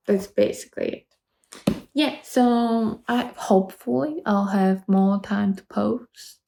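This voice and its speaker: A woman in her twenties speaks English with a Polish accent, chatting casually and circling back over her words. Her voice is slow, dark and gravelly. The room around her adds a noticeable echo. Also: medium pitch. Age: 20s. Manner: rambling, halting, many restarts. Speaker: vlogger. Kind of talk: casual chat to camera.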